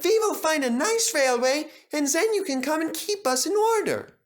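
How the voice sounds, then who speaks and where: A man's voice: expressive, moderate pace. A man voicing a cheeky young steam engine in an impudent singsong, in a storybook reading. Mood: bravely cheerful. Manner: high-pitched, impudent singsong.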